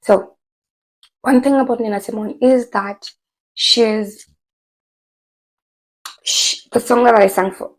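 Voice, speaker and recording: A woman talking casually to her viewers, chatty and rambling with many fillers, her voice hoarse from a cold. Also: a little high, steady tone, takes it slowly, long pauses, poor audio. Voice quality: husky, gravelly. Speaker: vlogger. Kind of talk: casual commentary to camera.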